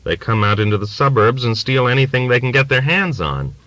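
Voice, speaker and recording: A man's voice: medium pitch, lively intonation, a little fast, pushed and bright, no echo, pitched above the performer's own voice. A man voicing a guarded, weary younger working man, plain and reticent, in an audiobook. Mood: defensive. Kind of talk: audiobook character dialogue.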